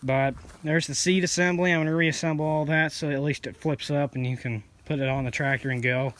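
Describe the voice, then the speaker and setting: A man's voice: fairly high pitch, fairly even intonation, a little fast, a bit bright. A sniffly man narrating his repair work in a casual, rambling, blunt way, often correcting himself. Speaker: hobby mechanic vlogger.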